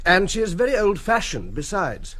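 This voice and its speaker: A man's voice: high voice, big pitch swings, normal speed, a bit bright, full character voice. A man playing a polite, hapless young upper-class English nephew, proper and apologetic, in a theatrical radio comedy. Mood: polite, hesitant.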